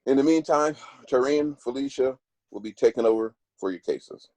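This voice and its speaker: A man's voice: medium pitch, expressive, moderate pace, darkish tone, dry recording, close to an everyday voice, rough recording. An actor at a table read playing a serious professional man who speaks firmly. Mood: stern, measured. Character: stern male law firm boss, measured and firm; also plays a frustrated long-distance boyfriend.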